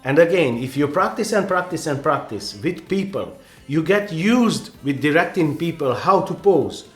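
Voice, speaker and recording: A man's voice: fairly high pitch, lively intonation, normal speed, faint music behind. Earnest Hungarian-accented man in echoey room. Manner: earnest, rambling, many restarts and "you know"s. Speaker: photographer giving advice. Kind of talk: casual advice vlog.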